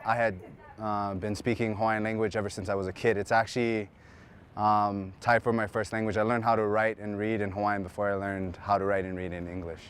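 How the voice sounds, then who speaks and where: Smooth-voiced young man in an interview. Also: on the low side. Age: young adult. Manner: relaxed, with filler pauses. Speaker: student language instructor. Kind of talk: interview answers.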